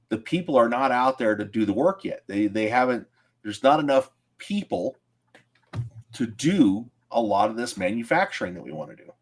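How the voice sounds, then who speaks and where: A man co-hosting a podcast in casual chat, plain-spoken and argumentative. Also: medium pitch. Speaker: podcast co-host.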